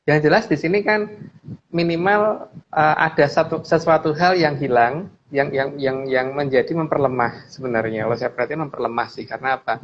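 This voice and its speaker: A man's voice: on the high side, brisk. A middle-aged man talks through his views in a casual discussion. He circles back over phrases and checks for agreement, on a slightly dull recording with some background noise. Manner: repeats phrases while explaining, adds frequent tags seeking agreement. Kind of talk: casual discussion, explaining opinions.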